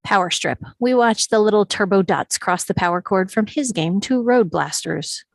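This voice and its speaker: A woman's voice: mid-range voice, moderate pace, vocal fry, no echo. A woman reading scene directions as the narrator of a live script table read.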